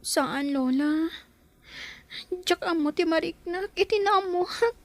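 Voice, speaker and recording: Very high-pitched woman as troubled young girl. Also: slow. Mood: downcast. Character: troubled young girl asking after her sick mother. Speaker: actor in a drama. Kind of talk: Ilocano audio drama acting.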